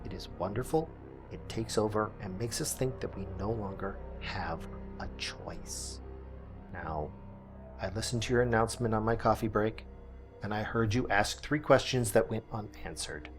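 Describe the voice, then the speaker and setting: A man playing an earnest small-town radio host, delivering a scripted monologue deliberately, with dramatic pauses and emphasis. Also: on the low side, over music, close to an everyday voice. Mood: begrudging, earnest.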